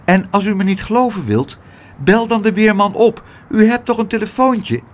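Man playing an earnest, high-voiced young boy. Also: moderate pace, close to an everyday voice. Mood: patient, insistent. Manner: polite, earnest, coaxing. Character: young boy hero, polite, patient, eager.

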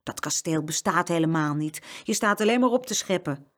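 A woman's voice: on the low side, animated, normal speed, a bit bright. A woman voicing a small, stubborn young boy with a headstrong, plucky manner in a children's storybook. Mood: challenging. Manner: tough-talking, headstrong. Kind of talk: children's storybook character reading.

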